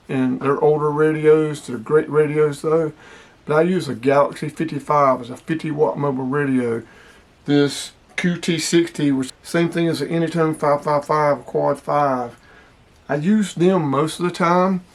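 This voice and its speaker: A man casually demonstrating gear as a hobby vlogger, explaining things in a plainspoken way. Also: a little high.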